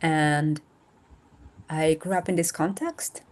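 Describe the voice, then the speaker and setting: Woman, 30s, from Brazil, Portuguese first language, low-pitched, thoughtful, remote interview guest. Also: fairly even intonation, slow, a little mellow. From north and northeast Brazil. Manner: thoughtful, searching for words with fillers. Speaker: guest, nonprofit founder. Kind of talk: casual interview talk. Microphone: remote video call.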